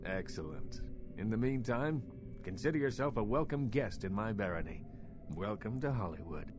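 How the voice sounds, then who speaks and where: A man playing a formal, smug vampire baron in a video game, speaking in a measured, condescending, old-fashioned way. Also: on the low side, animated, close to an everyday voice. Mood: pleased, welcoming. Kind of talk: video game dialogue acting. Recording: clearly noisy ambient background.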